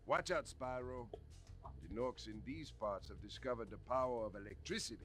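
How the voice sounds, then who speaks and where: An actor playing a male swamp dragon in a video game, with an animated, theatrical delivery. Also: medium pitch, moderate pace, natural voice. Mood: grave warning. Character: male swamp dragon warning a young hero. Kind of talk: video game character dialogue.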